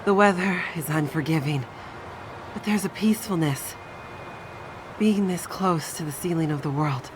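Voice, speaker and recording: A woman's voice: deep voice, moderate pace, long pauses, background noise, natural voice. A woman playing a weary, earnest young woman scientist in a video game cutscene, hesitant in her phrasing. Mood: wistful. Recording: music behind the voice.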